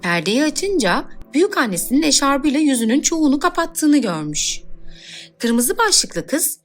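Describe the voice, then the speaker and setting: A woman's voice: sits high, big pitch swings, normal speed, soft background music. A woman storyteller reading scripted fairy tale narration for children.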